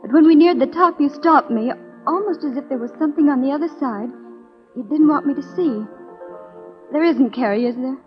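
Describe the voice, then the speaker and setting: A woman's voice: sits high, a little fast, faint music behind, close to an everyday voice. An actress in a 1940s radio drama playing a poised, spirited young lady of the Old West, genteel, proud and guarded. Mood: wistful. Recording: dull 1940s radio broadcast.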